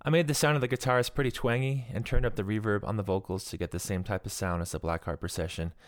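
Soft-spoken man hosting a song podcast. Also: on the low side, quick pace, no room sound, studio quality. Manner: relaxed, explanatory, soft-spoken. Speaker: podcast host and songwriter. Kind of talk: casual spoken introduction to a song.

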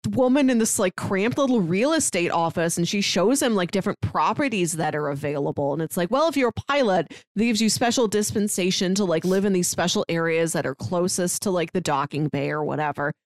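A woman's voice: fairly low pitch, expressive, brisk, hardly any pauses, no echo. A woman co-hosting a manga discussion podcast, talking casually and slipping into little mock dialogues to make her points. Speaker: podcast co-host reviewing manga.

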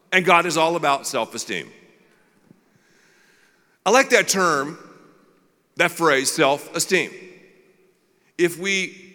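A man pastor preaches openly and pokes fun at himself. His voice is bright and swings through a lively range of pitch. A long reverb trails every phrase. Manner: candid, self-deprecating storytelling. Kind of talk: sermon with a personal story.

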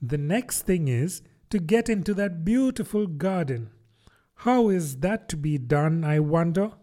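Man voicing a little girl, high light voice, polite, earnest, doubtful, storybook. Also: animated, a little slow, warm tone. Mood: musing. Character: truthful, puzzled little girl. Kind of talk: audiobook character voice.

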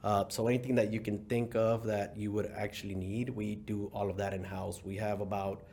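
A man's voice: on the low side, level tone, brisk, warm tone, a little hiss or hum. A middle-aged man born in Mexico, a company CEO as guest, talking in an easygoing way with fillers and restarts. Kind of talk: casual interview talk.

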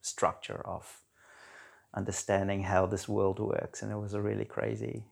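A man in his forties from South Africa with a South African accent, chatting casually as a podcast guest with a relaxed, rambling manner full of fillers. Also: fairly low pitch, normal speed, creaky. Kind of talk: casual conversation.

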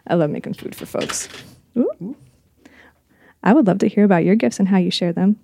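A woman co-hosting a podcast, chatting casually and reflectively, often pausing to search for words. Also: medium pitch, gravelly, warm tone, a little hiss or hum. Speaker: podcast co-host. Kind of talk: casual conversational podcast chat.

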